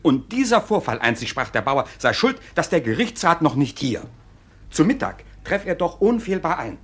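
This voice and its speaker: Casting: officious, knowing clerk in classic radio play, slightly high voice with animated swings and husky grain. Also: male voice, normal speed. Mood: ominous relish. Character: sly, officious court clerk, dutiful and knowing. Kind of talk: classic German stage comedy as radio play.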